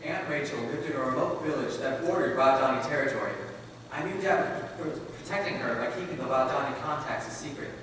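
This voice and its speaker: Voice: mid-range voice, fairly quick, a bit bright, far from the mic, natural voice. A performer playing a missionary pilot's earnest young son in a readers theater piece. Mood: earnest, reflective. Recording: very echoey hall from afar, noisy.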